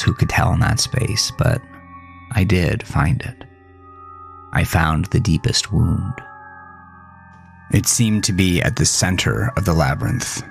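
Deep, gravelly male horror narrator. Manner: brooding, theatrical. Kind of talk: dramatic first-person horror storytelling. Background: faint music.

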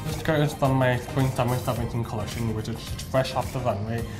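A young man in his twenties talks through his collection with very little pitch movement. His voice sits mid-range and stays matter-of-fact. Background music plays under him in the studio. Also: fairly even intonation, a little fast. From Vancouver, Canada. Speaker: fashion designer guest. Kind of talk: describing his work in an interview.

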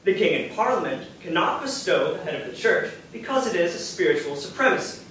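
A man on stage playing a devout, condemned statesman who speaks in measured, formal oratory with dignity and defiance. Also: sits high, sing-song, fairly bright tone. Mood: solemn, resolute. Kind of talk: stage play acting. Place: theatre stage, indoors. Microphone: far camera in audience. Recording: echoey theatre, distant mic, faint noise.

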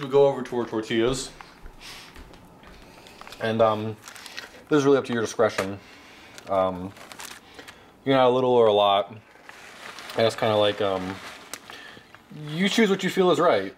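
A man in his twenties presents a spoof cooking segment with a straight face and a halting, casual delivery. His voice sits at a middling pitch. He is picked up by a camera mic in a home kitchen, with some noise and crinkling behind him. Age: 20s. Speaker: host of a comedic cooking segment. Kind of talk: mock cooking tutorial, casual vlog. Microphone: camera mic held by another person nearby. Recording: noisy camera audio, room noise.

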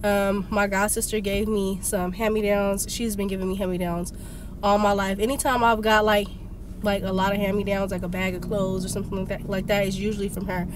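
A woman's voice: medium pitch, level tone, a little fast, noisy background. A young woman vlogger, sick and congested, telling a story animatedly and venting in a parked car, filmed on a phone. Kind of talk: casual vlog rant. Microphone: phone camera on dashboard.

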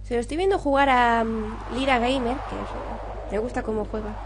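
A woman's voice: fairly high pitch, fairly even intonation, moderate pace, background noise. A young woman giving informal let's play commentary on a video game at home, reading dialogue aloud. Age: young adult. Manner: informal, swears. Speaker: gaming video host.

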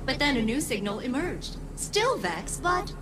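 A woman's voice: high-pitched, very animated, a little slow, music in the background. A woman voicing a polite, earnest female machine intelligence in a video game, speaking precisely.

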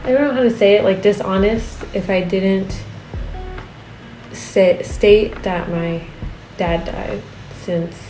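Halting woman with a slightly raspy voice. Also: medium pitch, steady tone, mellow, roomy sound, faint music behind, low-quality recording. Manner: halting, many fillers and restarts. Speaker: art vlogger. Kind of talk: casual confessional talk. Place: home studio room with desk and monitors.

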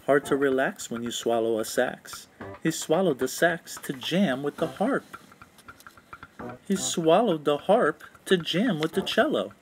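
A man's voice: a little high, lively intonation, measured pace, a little hiss or hum, rough recording. A man reading a children's storybook aloud in a rhythmic, sing-song way. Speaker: children's book read-aloud narrator. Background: instrumental music.

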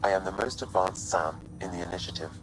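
A man voicing a deep, calm ship AI. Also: lightly acted. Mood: calm, explanatory. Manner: measured, precise, even delivery. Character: calm male ship artificial intelligence. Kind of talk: video game voice acting. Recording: game audio with steady ambient hum.

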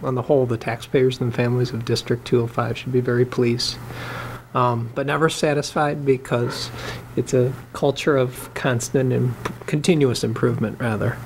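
Gravelly-voiced board member, halting. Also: male voice, mid-range voice, little pitch movement, a little hiss or hum. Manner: halting, many fillers and restarts. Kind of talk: meeting remarks. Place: board meeting room. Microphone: desk microphone.

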